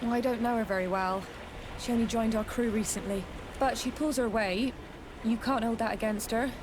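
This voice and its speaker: An actress voicing a reserved, dutiful female sailor in a video game, measured and guarded. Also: a little high, fairly even intonation, noisy background, lightly acted.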